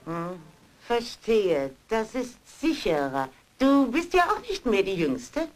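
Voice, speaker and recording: A woman's voice: mid-range voice, very animated, slow pace. A woman playing a polite, genteel old friend at tea, with an affected, sweetly polite drawl in a horror radio play. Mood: nostalgic, teasing.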